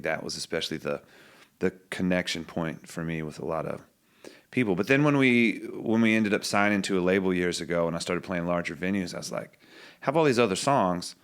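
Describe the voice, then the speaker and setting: Deep-voiced man musing as a podcast guest. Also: a bit bright, clean tone. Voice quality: low singing voice. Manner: thoughtful, musing, loose restarts. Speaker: singer-songwriter guest. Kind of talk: reflective podcast conversation.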